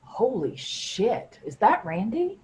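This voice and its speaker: A woman's voice: fairly high pitch, very animated, slow pace, a little mellow. A woman playing an exasperated, demanding production floor supervisor in a staged play reading. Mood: alarmed, pleading. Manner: blunt, demanding.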